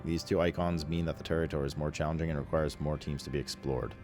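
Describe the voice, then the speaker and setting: A man's voice: a bass voice, fairly even intonation, fast, darkish tone. A man doing casual, slangy and sweary video game commentary, sometimes reading game text aloud. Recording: game music behind the voice. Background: video game music.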